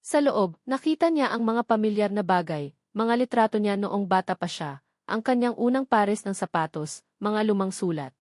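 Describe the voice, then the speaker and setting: A woman narrates a scripted love story in a dramatic storytelling style. Her voice sits at a middle pitch. The sound is very dry, with no audible room. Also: expressive, normal speed, darkish tone, no room sound.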